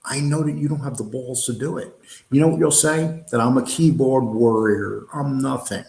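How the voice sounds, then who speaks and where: A New York-accented man hosts a live show and answers the chat in blunt, combative terms, at a mid-range pitch. His talk is full of fillers and restarts. The echoey room gives the voice a hollow ring. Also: normal speed, gravelly, a little mellow. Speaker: host of a live online show. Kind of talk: live-stream chat, answering viewer comments.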